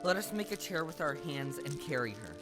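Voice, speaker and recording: A woman's voice: deep voice, big pitch swings, fairly quick. A woman playing an earnest, friendly, take-charge scarecrow in a stage audio play, with an emphatic, urging delivery. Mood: urgent. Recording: live stage recording with music.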